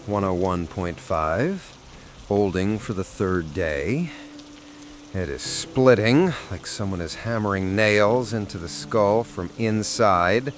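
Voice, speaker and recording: An actor playing an ailing, infected history teacher in his fifties, recording a weary audio diary in halting, fragmented phrases. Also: low voice, fairly bright tone, slight background noise, exaggerated voice. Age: 50s. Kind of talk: dramatic first-person audio diary. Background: music and rain.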